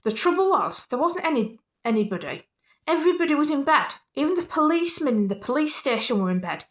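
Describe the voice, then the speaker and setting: A woman reading a children's picture book aloud in an animated way, with casual, playful, apologetic asides. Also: high-pitched, quick pace. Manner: playful, self-interrupting, apologetic.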